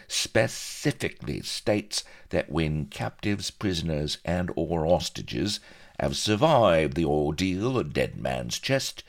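A tiny Welsh pirate lays down the rules with prim, officious insistence. His voice is pitched up and swings widely, in the manner of a fussy shop steward in a comic storybook. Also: vocal fry, heavily acted. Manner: officious, argumentative, rule-quoting.

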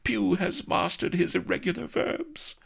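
Guy doing a bossy flustered lady aristocrat, way up high, old-timey talk. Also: animated, measured pace, vocal fry, a bit bright, husky, exaggerated voice. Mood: imperious, flustered. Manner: high mock-archaic lady's voice. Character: haughty young noblewoman, flustered and bossy. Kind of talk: audiobook character reading.

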